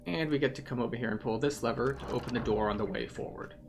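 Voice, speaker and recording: A man's voice: medium pitch, quick pace, creaky, background noise. A man giving a methodical, explanatory guided commentary through a video game as the host.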